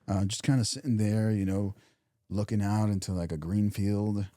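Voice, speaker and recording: Man, very deep mellow voice, podcast co-host, reflective motivational chatter. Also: fairly even intonation, moderate pace, dry recording, studio quality. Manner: reflective, repeats and restarts phrases, softens into quiet imagined thoughts. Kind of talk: casual motivational chat.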